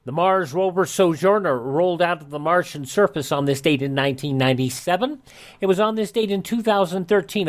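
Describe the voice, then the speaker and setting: A man hosting a radio show, reading history notes and anniversaries in a scripted announcer style. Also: a little high. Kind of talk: this-day-in-history radio narration.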